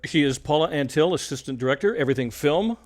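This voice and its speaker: A man hosting a radio show, interviewing in a relaxed conversational style. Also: a little high, fast talker.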